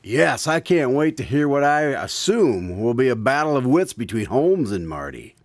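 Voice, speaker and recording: Formal male radio-show announcer, played by an actor, theatrical and gently needling, very animated pitch, dry studio sound. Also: moderate pace, no room sound. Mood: dry, curious. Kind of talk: scripted old-time radio announcing.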